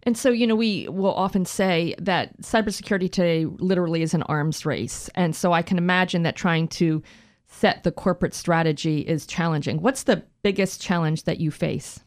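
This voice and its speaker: A woman's voice: lowish voice, normal speed. A woman interviewer asking a business guest questions in a conversational way.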